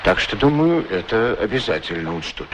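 A middle-aged street shoeshiner in a radio drama, wistful and good-natured. His speech is humble and folksy, with lively swings in pitch. There is steady background noise on the recording. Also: moderate pace. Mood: wistful, musing. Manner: ruminative, humble, folksy, courteous. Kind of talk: radio play acting, musing monologue and dialogue.

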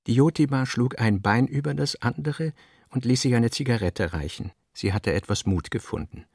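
Gravelly male narrator, dry studio sound. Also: mid-range voice, moderate pace, darkish tone, dry recording. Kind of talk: scripted literary narration.

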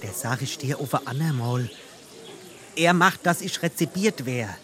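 Naive young journeyman, mid-pitched voice, Darmstadt dialect, evasive stage-comedy delivery. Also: lively intonation, measured pace, a bit bright. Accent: Hessian, Darmstadt dialect.

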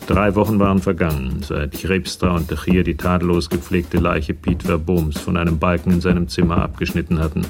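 A man narrating a German radio crime drama in a measured, factual storytelling manner. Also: low voice, steady tone, creaky, over music.

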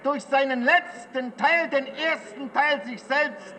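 A woman's voice: high voice, slow, fairly bright tone. A woman playing a combative, defiant delegate in a 1949 parliament, declaiming formally and emphatically in a dramatised protocol. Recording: echoing hall with crowd noise.

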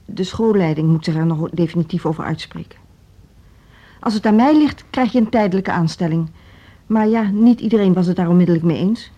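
An actress playing a firm school director in a naturalistic radio drama, speaking in a cool, measured, formal manner. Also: female voice, lowish voice, warm tone, lightly acted. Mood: evasive, careful. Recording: dull, muffled radio play recording.

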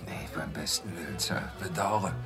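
An actor playing a rough, sly working-class London carter who rambles colloquially and deferentially in a radio drama. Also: male voice, sits low, normal speed, over music, natural voice. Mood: chuckling, reminiscing. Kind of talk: German radio drama acting.

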